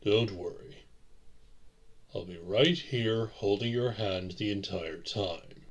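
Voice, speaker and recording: Man acting a slow, low, courtly vampire boyfriend. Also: highs cut off. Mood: soothing. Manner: formal old-fashioned phrasing, measured delivery. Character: courteous male vampire boyfriend, soothing and devoted. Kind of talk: romantic audio roleplay acting.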